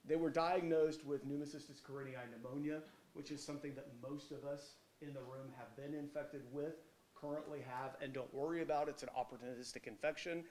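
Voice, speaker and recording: A man with a cold lectures in a loud, carrying voice, pitched a little high. He talks informally and swings from booming emphasis to quiet asides. The sound rings with the echo of a lecture hall. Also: fairly even intonation, brisk, slight background noise. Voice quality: loud, projecting voice. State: has a cold. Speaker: guest lecturer, medical researcher.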